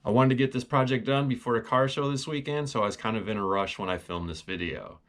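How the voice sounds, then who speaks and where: A man's voice: mid-range voice, fast talker, a bit bright, a little hiss or hum. A man casually walking through a do-it-yourself project in a plainspoken, explanatory way. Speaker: DIY car vlog host. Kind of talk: casual project walkthrough with voice-over.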